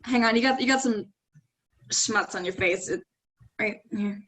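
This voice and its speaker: A woman's voice: medium pitch, moderate pace, a bit bright, natural voice. A woman playing a wry, teasing young woman on an awkward date in a comedy play reading, speaking casually and a little hesitantly. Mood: awkward, apologetic. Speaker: actor in a staged play reading.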